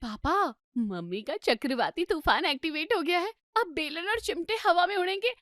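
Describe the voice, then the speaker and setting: A woman's voice: very high voice, expressive, moderate pace, a bit bright, no echo, studio quality. A woman voicing a cheeky twenty-two-year-old daughter in a comedy story, playful and mocking in her delivery. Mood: teasing. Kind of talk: Hindi comedy story dramatisation.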